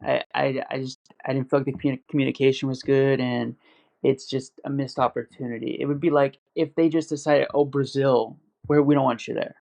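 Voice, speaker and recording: Gravelly man rambling on a podcast. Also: mid-range voice, little pitch movement, fairly quick, a little mellow. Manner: rambling, many "like" fillers and restarts. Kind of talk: casual podcast chat. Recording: dull lo-fi audio.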